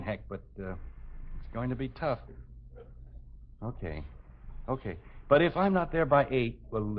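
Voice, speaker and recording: An American actor playing an exasperated, sarcastic, thrifty middle-aged father in a 1950s radio comedy. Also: mid-range voice. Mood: sheepish, hesitant. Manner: blustering, sarcastic, scolding. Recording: dull vintage 1950s radio broadcast.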